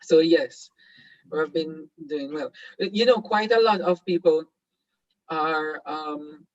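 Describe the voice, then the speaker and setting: A man's voice: on the high side, takes it slowly, no room sound, lo-fi sound. A Jamaican man whose first language is Patois hosts an online seminar, talking hesitantly with many fillers and restarts. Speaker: host.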